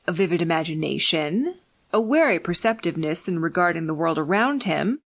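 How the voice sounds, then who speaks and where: A woman narrating a fiction audiobook in a measured, deliberate, scripted reading. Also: lowish voice, expressive, gravelly.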